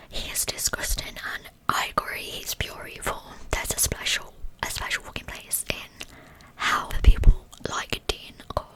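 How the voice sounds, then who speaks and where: A woman hosting true crime ASMR, telling her material entirely in a soft, breathy, unvoiced whisper. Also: rough recording.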